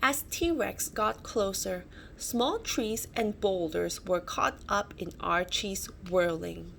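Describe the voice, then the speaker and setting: A woman reading a children's picture book aloud, dramatic and expressive, adding little asides as storyteller. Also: medium pitch, slow pace, gravelly. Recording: faint background noise.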